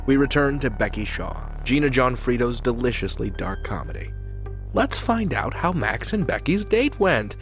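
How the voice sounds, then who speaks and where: A man's voice: mid-range voice, lively intonation, moderate pace, fairly bright tone. A man hosting a podcast, reading a scripted intro and promo in a theatrical, playful way. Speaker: podcast host. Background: music bed.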